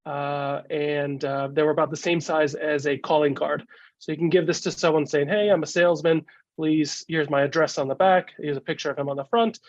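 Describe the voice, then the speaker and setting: A man with a cold talks through a slideshow over a video call, his voice gravelly and a touch higher than most. He halts often on fillers. The sound is dull and dry. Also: steady tone, dry recording. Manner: halting, many "uh" fillers. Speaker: guest speaker, collector. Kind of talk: casual slideshow talk.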